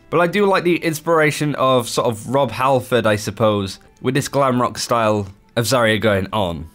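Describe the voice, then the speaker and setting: A man's voice: medium pitch, a bit bright. A man presenting a gaming video in a chatty, explanatory voice-over style. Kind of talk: gaming news commentary voice-over.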